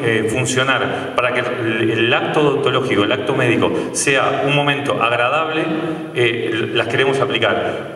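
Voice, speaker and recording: A man's voice: medium pitch, pushed and bright. A man in his thirties with a Uruguayan Spanish accent, a dentist giving a conversational stage talk with frequent hesitations. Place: large theatre stage. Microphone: handheld mic on a stand, filmed from far off. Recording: roomy hall sound from a distant camera.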